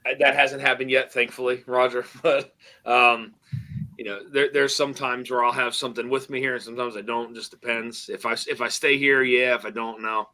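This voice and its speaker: A man's voice: mid-range voice, level tone, normal speed, bright, forceful tone. A man hosting a casual livestream, chatting in a rambling, hesitant way with lots of fillers and reading out viewer messages. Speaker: livestream host.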